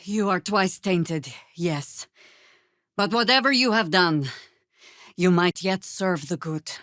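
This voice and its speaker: A woman's voice: fairly low pitch, animated, slow, fairly bright tone, no room sound. A woman playing an older, seasoned druid warrior in a fantasy video game, wry, dry and commanding in manner. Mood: grave, knowing.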